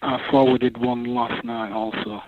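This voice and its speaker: A man from England with a Manchester accent, calling in to a radio show in a measured, earnest way. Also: mid-range voice, little pitch movement, noisy background. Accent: English, Manchester. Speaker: caller. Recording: tinny phone line.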